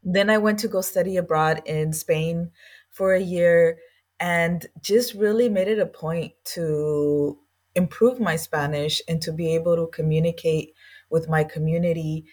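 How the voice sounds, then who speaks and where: A woman's voice: fairly low pitch, steady tone, unhurried, darkish tone. A woman from East Los Angeles, a guest on an interview podcast, telling her story in an earnest, reflective and loosely chatty way. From East Los Angeles, USA. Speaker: guest, language school founder.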